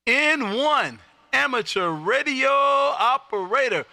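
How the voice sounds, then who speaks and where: A loud, exuberant man hosting a casual amateur radio stream, chatting and calling, repeating himself for emphasis. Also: extremely high pitch, animated, slow, creaky, no room sound. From Texas. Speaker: amateur radio operator and live stream host.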